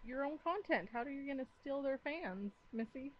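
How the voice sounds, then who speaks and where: Woman podcast host, casual and teasing, slightly high voice, dull home recording. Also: lively intonation, normal speed, slight background noise. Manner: teasing, laughs often. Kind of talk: casual chat.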